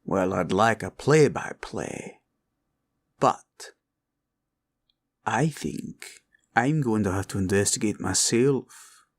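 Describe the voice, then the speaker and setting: A man playing a greedy, menacing green dragon in a villain roleplay audio, gravelly and drawling as he gloats and haggles. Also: fairly low pitch, expressive, exaggerated voice. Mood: intrigued. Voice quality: gravelly, creaky. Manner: slow, drawling, grumbling and haggling.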